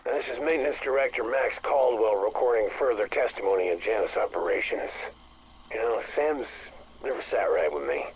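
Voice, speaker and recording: An actor playing a distressed maintenance director confessing into a game audio log, halting, stammering and bitter. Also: male voice, on the low side, lightly acted. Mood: bitter, alarmed. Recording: faintly noisy recorded audio log.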